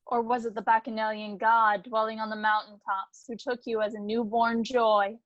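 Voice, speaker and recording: A woman's voice: fairly high pitch, little pitch movement, normal speed, no echo, lightly acted. A woman playing a reverent, wondering member of a Greek chorus in a theatrical reading. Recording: dull, muffled recording.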